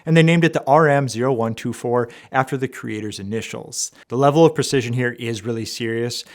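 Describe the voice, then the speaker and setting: A man with a mid-pitched voice delivers a product review in a measured, explanatory manner. He sounds like a reviewer working from prepared points, leaning on key words for emphasis. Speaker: audio equipment reviewer. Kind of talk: product review to camera, semi-scripted.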